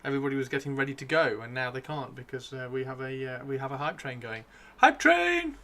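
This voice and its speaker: A man hosting a casual live stream, chatting excitably and repeating his phrases, with his voice starting to give out. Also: medium pitch, fairly quick. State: losing his voice. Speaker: livestream host. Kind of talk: casual live stream chat while painting miniatures.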